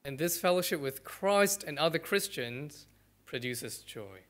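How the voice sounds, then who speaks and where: A man's voice: fairly high pitch, expressive, slow, fairly bright tone. A man from Malaysia with a Malaysian accent, preaching a sermon as a pastor in short, earnest phrases. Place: church.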